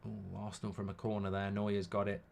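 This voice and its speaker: British man with a slightly croaky voice. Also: lowish voice, little pitch movement, fairly quick, a little mellow, slight background noise. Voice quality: slightly croaky, bunged-up nose. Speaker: host of a live football watchalong stream. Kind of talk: casual live commentary mixed with chat replies.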